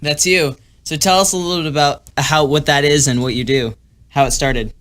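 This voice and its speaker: A young man hosting a podcast while sick, sounding a little nasal, excited and rambling with lots of fillers. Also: fairly high pitch, moderate pace. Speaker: podcast host. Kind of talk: casual podcast talk.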